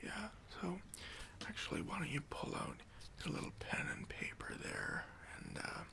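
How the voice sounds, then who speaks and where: Man playing a whispering, creaky, pompous boss. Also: high voice, wildly swooping pitch, bright, forceful tone, heavily acted. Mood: smug, appraising. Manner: pompous, condescending, halting with drawn-out pauses. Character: self-important, demanding, petty male boss conducting a job interview. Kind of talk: whispered ASMR roleplay.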